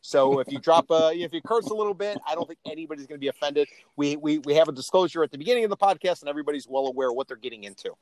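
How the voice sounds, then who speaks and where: A man's voice: a little high, racing, hardly any pauses, darkish tone, no room sound, poor audio. A man hosting an interview podcast in a casual, chatty, informal way with emphatic delivery. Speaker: podcast host.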